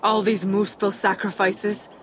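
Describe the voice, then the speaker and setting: Actress as a guarded, resolute giant princess. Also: female voice, mid-range voice, flat delivery, a little slow, slight background noise, close to an everyday voice. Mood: cool, determined. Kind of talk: video game cinematic acting. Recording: music under the voice. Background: cinematic game music.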